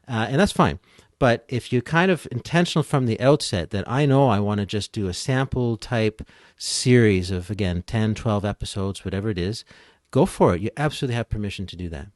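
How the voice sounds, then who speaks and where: A man's voice: lowish voice, animated, brisk, darkish tone, no room sound, clean studio sound. A man speaking as an expert guest in a conversational, explanatory way, offering encouraging advice.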